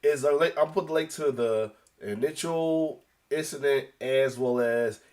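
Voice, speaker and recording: A man's voice: medium pitch, unhurried, a little mellow. A congested man with a cold, giving a casual, rambling news reaction as the host, with restarts and coughs. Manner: rambling, repeats "as well too", many restarts; occasional coughs.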